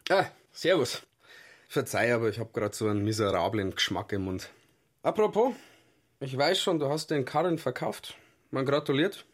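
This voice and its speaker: An actor playing an unabashed, worldly count who schemes nonchalantly in a radio play. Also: male voice, medium pitch, animated, normal speed, lightly acted. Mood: breezy. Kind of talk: German radio play acting.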